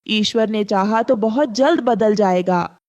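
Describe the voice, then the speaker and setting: A woman's voice: mid-range voice, measured pace, a little mellow, no echo, close to an everyday voice. A woman playing a poor, kindly, weary aunt pigeon in a Hindi cartoon, plaintive and resigned. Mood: hopeful. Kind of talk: Hindi cartoon story acting.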